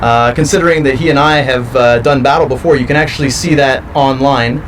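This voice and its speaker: A man's voice: mid-range voice, fairly even intonation, fairly quick, distant mic, a little hiss or hum, poor audio. A male professional wrestler giving confident, boastful interview answers. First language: not French.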